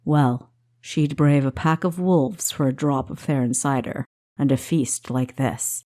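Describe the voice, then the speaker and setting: A woman's voice: extremely low pitch, steady tone, measured pace, warm tone, voice pushed down. A woman voicing a good-natured male dinner guest in a storybook, with an easygoing, wistful manner. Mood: fond.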